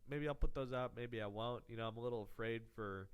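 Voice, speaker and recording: A man's voice: mid-range voice, quick pace, smooth. A man hosting his podcast in a rambling, profane, sarcastic monologue, his voice nasal and congested from illness. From Texas. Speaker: podcast host. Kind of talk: casual comedic monologue.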